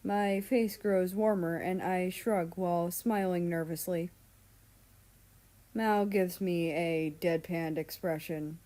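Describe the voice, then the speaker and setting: Unhurried woman reading a story aloud. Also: on the low side, steady tone, dark tone. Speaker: story reader. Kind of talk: expressive read-aloud narration of fan fiction.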